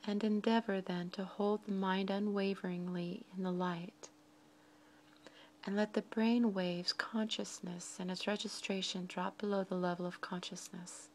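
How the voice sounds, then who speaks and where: Woman, meditation guide, soft breathy delivery, medium pitch, measured short phrases, frequent pauses, noticeable background noise. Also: level tone.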